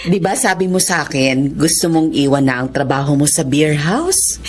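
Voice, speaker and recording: A woman's voice: low-pitched, expressive, moderate pace, a little hiss or hum. An actress playing a kind, generous married lady of means in a Filipino radio drama, gracious and reassuring in manner. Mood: generous, warm.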